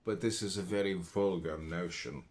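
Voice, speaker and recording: A man reads philosophy aloud as a narrator, phrasing it deliberately and emphatically with pauses. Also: low voice, a little mellow. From United States. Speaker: audiobook reader.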